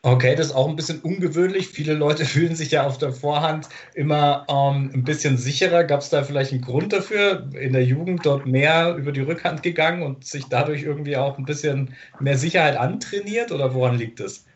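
Male sports interviewer, slightly high voice, rambling questions, muffled audio. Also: a little fast, few pauses, slight background noise. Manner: chatty, searching for words. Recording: dull lo-fi sound.

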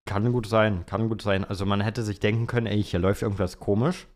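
Low-pitched young man, casual podcast co-host. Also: level tone, brisk. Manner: colloquial, slangy. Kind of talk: casual chat about Formula One.

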